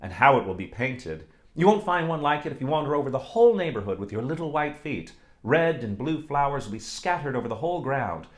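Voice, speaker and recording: A man's voice: medium pitch, very animated, a little fast, hardly any pauses, reverberant, pitched above the performer's own voice, lightly acted. A man voicing a lovesick young village blacksmith who pleads tenderly with the girl he courts. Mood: pleading.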